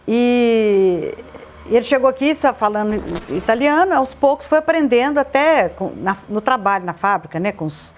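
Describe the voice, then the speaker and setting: A woman's voice: on the high side, animated, normal speed. A woman interviewed about a relative, telling the story in a narrative way. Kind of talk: casual recollection.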